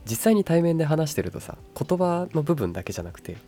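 A young adult woman chatting casually as a radio co-host in a calm, boyish, wry manner. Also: deep voice, quick pace. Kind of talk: casual chat.

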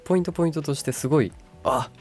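A young adult man co-hosting a podcast, chatting casually as a calm, laid-back listener who chimes in often. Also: on the high side, racing, faint music behind. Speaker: podcast co-host. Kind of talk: casual chat.

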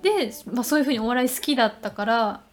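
High-voiced young woman chatting casually. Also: quick pace, soft background music. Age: young adult. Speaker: podcast co-host. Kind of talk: casual chat.

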